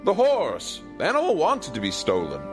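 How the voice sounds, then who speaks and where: A pompous, dim-witted medieval king, played by a man in a comedic audio drama. His pitch leaps about dramatically as he blusters in stiff, formal phrases, stubborn and defensive. Music plays behind him. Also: huge pitch swings, normal speed. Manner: pompous, blustering, formal diction without contractions.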